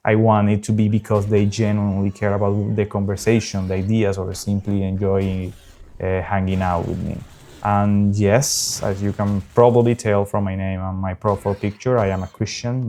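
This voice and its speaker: Gaming host, man in his thirties, South American Spanish accent, lowish flat voice, earnest and unpolished. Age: early 30s. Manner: earnest, unpolished, frequent pauses. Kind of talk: casual talk over gameplay.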